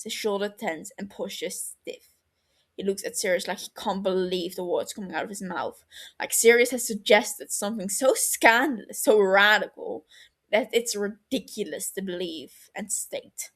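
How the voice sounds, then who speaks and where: A woman reading a fan fiction story aloud as scripted narration. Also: mid-range voice.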